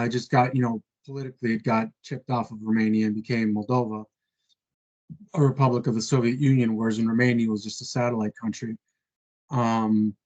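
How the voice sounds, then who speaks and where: A man's voice: mid-range voice, a little mellow, dull audio. A man casually chatting on a video call, thinking aloud with many fillers and hedges. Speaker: conversation partner.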